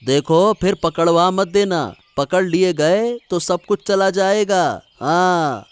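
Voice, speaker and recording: A man voicing a naive, mild-tempered, slow-witted young brother in a Hindi cartoon moral story, puzzled and protesting in manner. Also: sits high, smooth, dry recording. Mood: uneasy protest.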